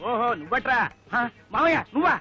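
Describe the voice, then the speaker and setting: A man's voice: extremely high pitch, animated, quick pace, pushed and bright, lo-fi sound, faint music behind, exaggerated voice. A man playing a glib, joking young nephew in a film comedy. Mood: reassuring. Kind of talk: Telugu film comedy acting.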